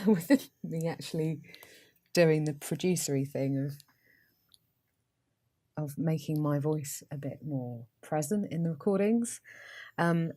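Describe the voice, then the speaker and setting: A woman with a Received Pronunciation English accent hosting a podcast, talking casually and reading aloud in a flat, even way with frequent ums. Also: deep voice. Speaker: podcast host.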